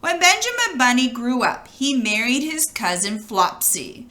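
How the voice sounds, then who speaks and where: A woman reading a children's storybook aloud in an expressive, animated way, as if to young listeners. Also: a little high, unhurried. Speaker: storybook reader.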